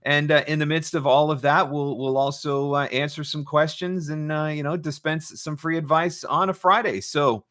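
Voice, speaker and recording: A man's voice: fairly high pitch, steady tone, normal speed, few pauses, a bit bright. A man hosting a casual, off-the-cuff livestream as a coach, chatting informally with a playful, teasing streak. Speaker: livestream host and voiceover marketing coach. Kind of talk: casual live chat, off the cuff.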